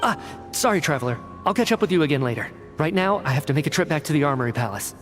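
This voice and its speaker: A man's voice: fairly high pitch, animated, racing, natural voice. Analytical young-sounding male researcher, voiced by an actor, over music. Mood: hurried, apologetic. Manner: articulate, inquisitive, methodical. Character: earnest, analytical male researcher reasoning things out. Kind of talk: video game dialogue acting. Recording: studio voice under clear game music. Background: background music.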